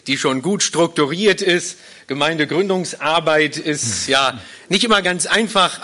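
A man's voice: fairly high pitch, sharp tone. A man in his forties from Berlin, a guest pastor speaking to a congregation in an earnest, explanatory way. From Berlin, Germany. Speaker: guest pastor leading a small church plant. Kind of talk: live interview, personal testimony to a congregation.

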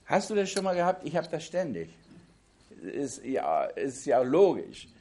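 High-voiced, slow-speaking male preacher. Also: big pitch swings, warm tone. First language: not German. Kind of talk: sermon on a Bible passage.

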